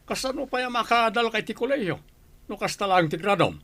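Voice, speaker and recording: A man's voice: high-pitched, animated, a little fast, fairly bright tone. A man playing a stern, disappointed father in a radio drama, scolding and disparaging. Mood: reproachful.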